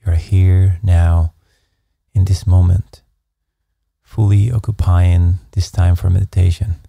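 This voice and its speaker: A man narrating a guided meditation, giving calm, measured instructions in an unhurried way. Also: low voice, vocal fry, no echo, studio quality. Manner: slow, calm, measured instruction with soft pacing.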